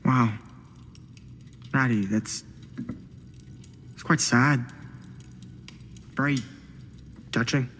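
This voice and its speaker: A man's voice: mid-range voice, drawn-out words, pauses often, a bit bright. An actor playing a sly, theatrically insincere man in a video game, mannered and sardonic. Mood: mock sympathy. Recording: long hall reverb, faint background noise.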